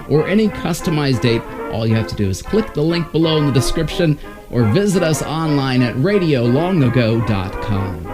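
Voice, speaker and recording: A man presenting old-time radio shows in a scripted announcer's narration. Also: fairly high pitch. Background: music.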